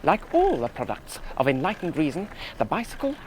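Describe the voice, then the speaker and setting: A young British officer in an old radio drama, lightish in pitch and very lively in intonation. He muses earnestly and precisely, nervous but reasoning himself calm, over faint noise. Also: fairly quick, rough recording. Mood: startled, self-reassuring. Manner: earnest, precise, musing, self-reassuring. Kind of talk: 1970s radio play monologue.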